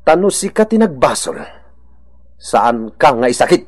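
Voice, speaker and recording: A man's voice: mid-range voice, big pitch swings, measured pace. A man playing an insistent, admonishing accuser with an Ilocano accent in a radio drama. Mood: accusing.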